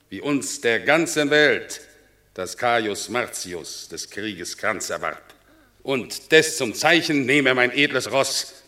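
An actor playing a solemn, generous Roman general in a classical verse radio drama, declaiming ceremoniously. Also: male voice, medium pitch, sharp tone.

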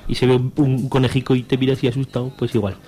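A man's voice: medium pitch, flat delivery, racing, warm tone, a little hiss or hum. A man with a Spanish accent from Spain co-hosts a radio show, reviewing and bantering in a casual, jokey, colloquial and irreverent way. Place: radio studio.

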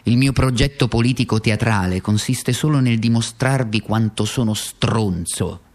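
An actor playing a bitterly sarcastic, worn-out communist wage earner in a theatrical, ironic political radio monologue. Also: male voice, medium pitch, darkish tone, lightly acted. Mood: sardonic, deliberate. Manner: theatrical, ironic, swinging from deliberate to frantic.

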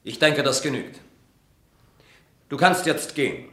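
An actor playing a curt, commanding prince in a German radio drama, speaking formally. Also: male voice, medium pitch, unhurried, a bit bright, close to an everyday voice. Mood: curt, assured. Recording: roomy studio radio drama recording.

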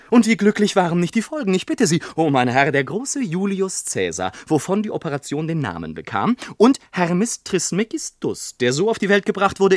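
An actor playing a storyteller in a literary radio play, theatrical and emphatic with ornate phrasing. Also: male voice, on the high side, dry recording, clean studio sound. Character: son narrating his eccentric father's theories, mimicking him excitedly. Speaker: narrator.

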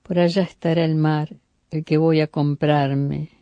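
A woman reciting a poem in a flat, measured way. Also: low voice, no echo, highs cut off. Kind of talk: poetry reading.

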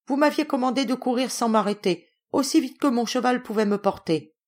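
Woman acting out a flustered, apologetic courier, high-pitched. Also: fairly quick, a little mellow, natural voice. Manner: deferential, pleading.

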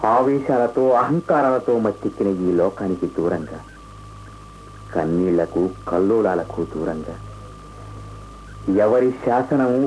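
A deep, soft-toned young prince declares his love with poetic fervour. His measured delivery belongs to a radio drama, with faint music around it. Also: rough recording. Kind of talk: radio play acting, declamatory.

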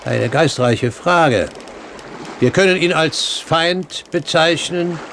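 A man acting a shrewd, calculating commander at sea in a 1970s radio drama, measured and dryly ironic. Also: a little high, fairly bright tone, slight background noise. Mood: coolly ironic.

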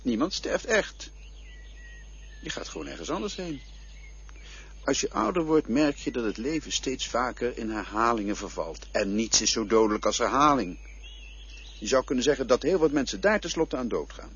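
A man as a calm, enigmatic guide to death. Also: mid-range voice, moderate pace, muffled recording, a little hiss or hum. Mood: musing. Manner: philosophical, quietly insistent, lecturing. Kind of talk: radio drama acting.

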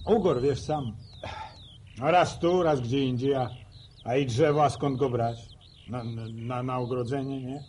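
A man's voice: medium pitch, measured pace, a little mellow, a little hiss or hum, natural voice. A man playing a good-natured, practical young farmer and older brother in a radio drama, with folksy hesitant phrasing. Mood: pondering.

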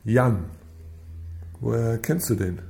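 An actor playing an impatient, sarcastic police inspector in a radio crime drama, brusque and commanding. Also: male voice, mid-range voice, takes it slowly, vocal fry, dark tone. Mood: probing, stern. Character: impatient, sarcastic male police inspector leading a murder case.

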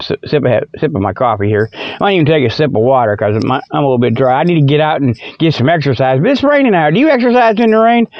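High pitched guy rambling and joking like a folksy radio host, muffled dry lo-fi sound. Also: dry recording. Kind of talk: rambling comic monologue. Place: inside a truck. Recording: dry, dull lo-fi audio.

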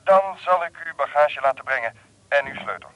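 An actor playing a polite, flustered hotel receptionist on the telephone in a radio drama, apologetic and hesitant. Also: male voice, mid-range voice, brisk, highs cut off, very noisy.

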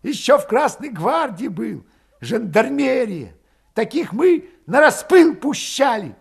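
A man voicing a hot-headed, scornful and excitable young revolutionary in an audiobook, ranting and snapping in rustic dialect. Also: very high-pitched, moderate pace, vocal fry, pitched above the performer's own voice, exaggerated voice. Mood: furious, indignant. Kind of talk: audiobook character voice.

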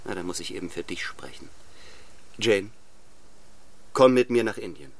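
A stern young clergyman in a literary radio drama. His voice sits fairly low and he speaks with cold, solemn control, pressing his will on the listener like an order. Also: expressive, normal speed, a little hiss or hum. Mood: solemn, insistent. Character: St. John Rivers, stern, cold, domineering young clergyman missionary. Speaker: actor in a radio play.

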